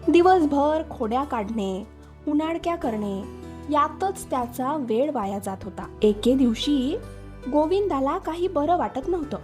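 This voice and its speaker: High-pitched woman telling children's stories. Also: expressive, a little slow, a little mellow, faint music behind. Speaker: storyteller, channel host. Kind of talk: scripted children's story narration.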